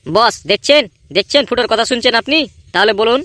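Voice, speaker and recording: A man playing a suspicious cartoon boy. He speaks quickly in a bright, forceful voice, pushy and accusing. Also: very high-pitched, no room sound. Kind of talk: amateur comedy cartoon dub.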